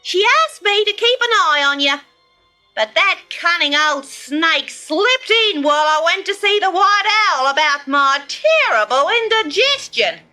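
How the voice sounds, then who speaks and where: Big cackly kookaburra for a kids story, super high n loud, chuckles thru everything, totally tickled. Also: female voice, big pitch swings, measured pace, faint music behind, heavily acted. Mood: chatty, chuckling. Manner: cackling, exclaiming, laughs through words.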